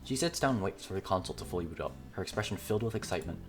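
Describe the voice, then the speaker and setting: A man reads a visual novel aloud in a low, animated voice. He hosts casually, mixing narration with off-the-cuff asides, over game music. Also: very fast. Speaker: let's play host reading a visual novel aloud. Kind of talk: casual dramatic reading with reaction asides. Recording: music behind the voice.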